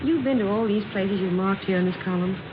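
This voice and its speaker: A woman's voice: mid-range voice, brisk, close to an everyday voice. A woman playing a polite, guarded young job seeker in a 1940s radio drama, with a proper and hesitant manner. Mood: curious, sympathetic. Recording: muffled, noisy old radio recording.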